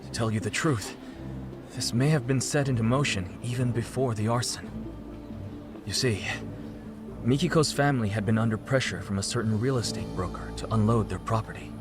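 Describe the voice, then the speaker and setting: A man's voice: medium pitch, normal speed, music in the background, lightly acted. A man acting a polite, anxious middle-aged father and husband in a dubbed video game cutscene, formal and earnest in his speech. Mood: troubled, measured. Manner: polite, formal, earnest, exclaims when upset.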